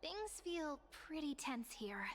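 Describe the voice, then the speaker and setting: A young female adventurer in a fantasy game, high and soft. She speaks slowly and pensively, like a wary observer, with faint noise behind her. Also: sing-song, full character voice. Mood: uneasy. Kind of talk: scripted fantasy game voice acting.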